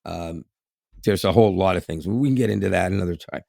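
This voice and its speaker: A hoarse man from Canada, co-hosting a casual podcast in a rambling way, with restarts and chuckles. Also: low voice, fast, mellow, no echo, clean studio sound. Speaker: podcast co-host.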